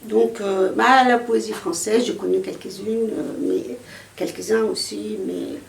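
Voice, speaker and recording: Egyptian woman, hesitant and gravelly. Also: medium pitch, fairly even intonation, unhurried. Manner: hesitant, many fillers and restarts. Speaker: poet interviewed. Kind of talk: casual conversational interview. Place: outdoor poetry fair in a city square. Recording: echoey, from a distance, faint outdoor noise.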